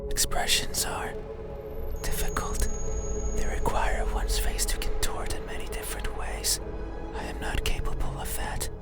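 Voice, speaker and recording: An eerie monster from a horror game acted by a man whispers in a breathy, deliberate way. It speaks very slowly, lonely and insistent, as if questioning the listener at the bedside. Music plays prominently behind it. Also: close to an everyday voice. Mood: puzzled, melancholy. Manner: slow, halting, deliberate, questioning. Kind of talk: ASMR-like horror game monologue.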